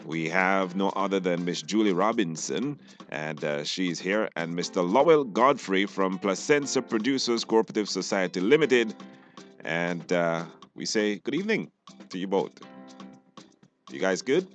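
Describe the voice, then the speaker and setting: A man's voice: low voice, animated, a little slow, soft background music. A man with a Belizean accent hosting a radio show in a casual, conversational style. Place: radio studio. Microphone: studio microphone.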